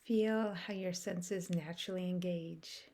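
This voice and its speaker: A woman leading a guided meditation in a soft, soothing way, with measured phrasing and long pauses. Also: lowish voice, fairly even intonation.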